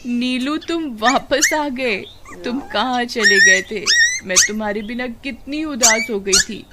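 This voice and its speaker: A woman's voice: fairly high pitch, measured pace, heavy background noise, close to an everyday voice. A woman voicing a doting, melodramatic village wife in a moral-story cartoon, cooing and exclaiming. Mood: tender, doting. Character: doting, dramatic village wife who loves her dog.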